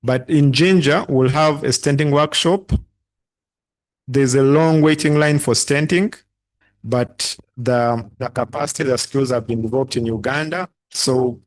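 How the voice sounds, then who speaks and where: A man, a doctor, explaining event plans over a video call in a halting, explanatory way with fillers. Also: medium pitch. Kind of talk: briefing on an event.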